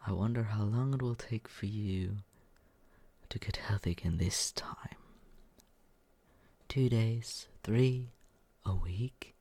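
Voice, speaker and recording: A performer voicing a smug, mocking young male roommate in an ASMR roleplay, with a breathy, husky voice that often slips into a whisper and a condescending, taunting drawl. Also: mid-range voice, slow pace, long pauses, mellow. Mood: smug teasing. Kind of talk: ASMR roleplay, slow teasing monologue.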